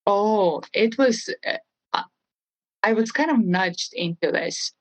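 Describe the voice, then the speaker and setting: A woman's voice: medium pitch, expressive, slow, no room sound. A middle-aged woman from Estonia with an Estonian accent, talking conversationally as an interview guest. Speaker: interview guest, real estate trainer.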